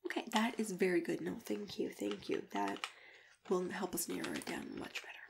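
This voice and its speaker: Hushed, crackly-voiced woman doing ASMR roleplay. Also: lowish voice, very animated, creaky. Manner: gentle, hushed, unhurried, drifting into whispers. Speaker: ASMR roleplay host playing a matchmaker. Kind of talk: ASMR roleplay, soft-spoken chat with whispering. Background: computer keyboard typing and clicking.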